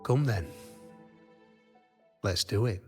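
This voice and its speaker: An actor playing a calm, philosophical weaponsmith in a video game, speaking in a measured, solemn way. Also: male voice, on the low side. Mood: reflective, resolved. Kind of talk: dramatic game dialogue. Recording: music behind the voice.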